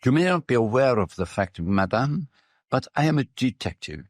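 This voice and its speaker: An actor playing a courteous, shrewd Belgian detective with a French-speaking accent and precise, theatrical phrasing in a radio drama. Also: male voice, mid-range voice, big pitch swings, normal speed, dry recording, studio quality. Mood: courteous, assured. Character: dapper Belgian detective, courteous, shrewd, self-assured middle-aged man.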